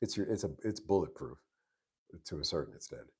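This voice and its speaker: A man with a very low voice hosting a casual live stream, answering viewer questions in an easygoing, rambling way with many restarts. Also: animated, brisk, a little mellow, breathy edge. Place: live stream from home base abroad.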